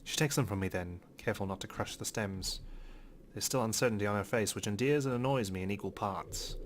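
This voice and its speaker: A man's voice: lowish voice, a little fast, a little hiss or hum. A man reading visual novel text aloud as a let's player, with occasional brief commentary.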